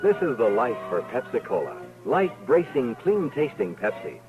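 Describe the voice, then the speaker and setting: A man's voice: medium pitch, big pitch swings, normal speed. A man reading a vintage scripted commercial as an announcer. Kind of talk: vintage scripted advertising read. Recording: tinny vintage broadcast. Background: music.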